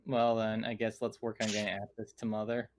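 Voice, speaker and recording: A man's voice: fairly low pitch, monotone, brisk, a little hiss or hum. A man playing an online tabletop game, chatting casually as he works out his character's moves.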